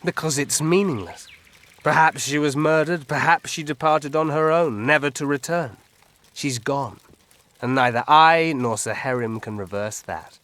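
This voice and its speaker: A man's voice: a little high, normal speed, fairly bright tone, close to an everyday voice. An actor playing a melancholy, cryptic old elf woodsman in a fantasy video game, musing with a tipsy drawl. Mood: resigned, musing.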